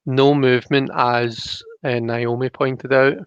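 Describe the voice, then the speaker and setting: A man hosting a live card reading, talking casually and explaining as he thinks aloud, with halting phrasing. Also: medium pitch, little pitch movement, clean tone, dry recording, dull audio. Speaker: host of a live tarot reading.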